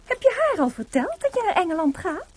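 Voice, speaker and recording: High-voiced, sharp young wife. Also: female voice, fast. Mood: reproachful, jealous. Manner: pointed, questioning, expressive. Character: composer's sharp, sceptical, teasing young wife. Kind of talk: Dutch radio drama acting.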